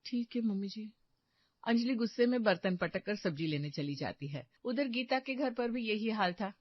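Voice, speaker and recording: A woman's voice: medium pitch, lively intonation, moderate pace, no room sound, dull audio. A woman narrator reading a scripted cartoon story.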